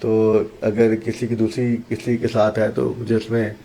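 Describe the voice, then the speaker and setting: A man's voice: on the low side, flat delivery, moderate pace, vocal fry, warm tone, poor audio. A man from Pakistan, an accounting teacher, answering interview questions in an earnest, explanatory way and mixing in English phrases.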